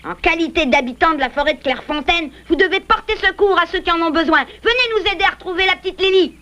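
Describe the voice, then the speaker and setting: Quick, high-voiced young beaver hero. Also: female voice, pushed and bright. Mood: earnest, firm. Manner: earnest, decisive, righteous. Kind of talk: dubbed cartoon acting. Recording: muffled old VHS cartoon with music.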